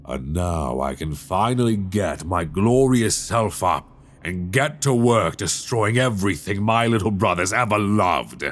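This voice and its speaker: Male actor as a menacing fallen king, extremely low voice, slow delivery, regal, seductive, doting, close-miked ASMR roleplay. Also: expressive. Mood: grand, scheming. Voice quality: very deep, gravelly. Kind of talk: intimate ASMR roleplay acting. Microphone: close binaural microphone.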